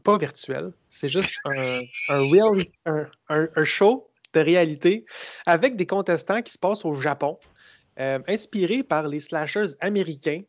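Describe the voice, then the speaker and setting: Sarcastic Québécois man with a higher voice. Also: slight background noise. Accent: Québécois French. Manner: sarcastic, rambling, halting storyteller. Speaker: podcast co-host. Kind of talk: casual podcast chat about films.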